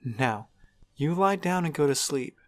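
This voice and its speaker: A man voicing a caring young stallion who looks after his little sister, speaking gently and soothingly but firmly. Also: a little high, expressive, a little slow, pitched above the performer's own voice. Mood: tender. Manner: gentle, soothing, quietly firm. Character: caring young stallion, protective big brother. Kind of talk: fanfic audiobook reading.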